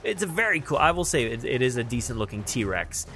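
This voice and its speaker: A man hosts a podcast in a casual, unscripted style. He talks haltingly, thinking aloud as he goes, at a middle pitch with faint music in the recording. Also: big pitch swings, gravelly, a bit bright. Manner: halting, thinking aloud while describing what he sees. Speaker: podcast host. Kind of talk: casual unscripted news chat.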